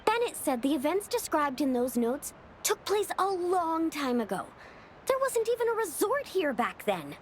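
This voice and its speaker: A woman voicing a small, excitable, easily scared fairy-like companion girl in an anime-style video game, with a childlike manner. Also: extremely high pitch, moderate pace, slight background noise. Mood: curious. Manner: childlike, speaks of herself in third person.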